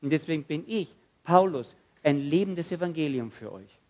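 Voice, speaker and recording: A man preaching a sermon, swinging from loud outbursts to hushed, quiet passages and acting out prayers. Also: fairly high pitch, animated, moderate pace, highs cut off.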